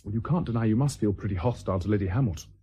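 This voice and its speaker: An actor playing a candid, dutiful young aristocratic nephew with a British accent, polite and frank, in a period radio drama. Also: male voice, on the low side, rapid-fire, mellow, husky.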